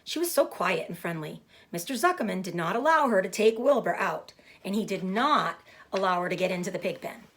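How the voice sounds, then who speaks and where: Expressive woman reading a children's book. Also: medium pitch, a little fast, a little hiss or hum. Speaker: read-aloud storyteller.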